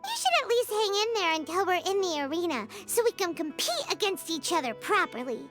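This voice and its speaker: A woman voicing a small, excitable, childlike fairy companion in a video game, chatty and perky, with a squeaky voice. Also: fairly quick, faint music behind, heavily acted. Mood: encouraging. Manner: perky, refers to self in third person.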